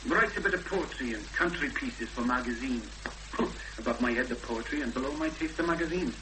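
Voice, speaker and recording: A man's voice: mid-range voice, natural voice. Guy playing welsh village copper in an old 70s radio drama, polite n careful, stumbles a bit, really noisy tape. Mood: wary, advising. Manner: hesitant, halting official delivery. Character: cautious, courteous male village policeman in rural Wales. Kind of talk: 1970s radio drama acting. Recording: old radio recording, loud hum and noise.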